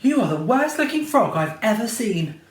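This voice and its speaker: Fairy godmother, brisk and blunt, high; male reader plays her. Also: sing-song, roomy sound.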